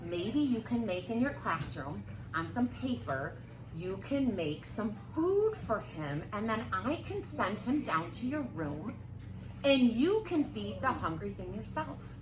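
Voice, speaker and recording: A woman teacher reading aloud to young children, expressive and prompting them with questions. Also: mid-range voice, slow pace, distant mic. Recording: roomy echo with clear background noise.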